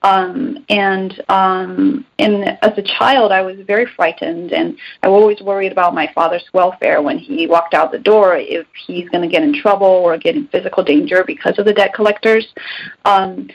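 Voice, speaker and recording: A young woman from Taiwan, a resident physician, answering interview questions softly and hesitantly with many ums. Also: mid-range voice, steady tone, moderate pace, slightly rough. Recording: dull lo-fi sound.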